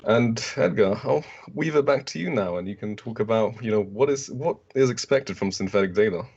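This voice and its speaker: A man's voice: lowish voice, a little fast, a little mellow, slightly rough. A man co-presenting in an online conference talk, explaining fluently over muffled video-call audio.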